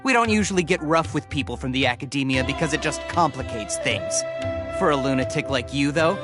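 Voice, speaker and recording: A man's voice: mid-range voice, expressive, a little fast, lightly acted. A man voicing a zealous, scornful desert mercenary in an anime game, sneering and preachy, with music behind. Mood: angry, threatening. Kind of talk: English anime game voice acting.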